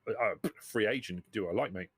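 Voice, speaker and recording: A man's voice: on the low side, a little fast, slightly rough, no echo. A man voicing a cheeky, unashamed cowardly scavenger in a tabletop game, blunt and slangy. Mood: eager, cheeky.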